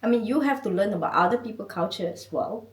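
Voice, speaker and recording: Malaysian lady chatting away in an interview, laughs a lot, lively voice, sounds a bit far off in an echoey room. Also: medium pitch, fast. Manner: animated, laughing, chatty with many "you know"s. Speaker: guest. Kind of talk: casual interview. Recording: echoey room, mic at a distance.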